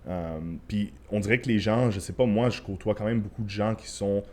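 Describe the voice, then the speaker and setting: Young Quebec man, lowish voice, casual podcast guest, swears, code-switches into English. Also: brisk. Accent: Quebec French. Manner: loose, swearing, mixes English phrases. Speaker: podcast guest, former bodybuilder and coach.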